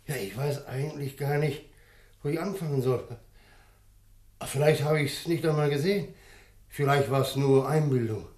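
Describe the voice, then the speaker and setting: An actor in a German radio play, playing a shaken, tipsy village man who tells of an uncanny sighting in a halting, insistent way. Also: medium pitch, a little mellow, lightly acted. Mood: sheepish, groggy. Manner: halting, stammering, insistent eyewitness telling.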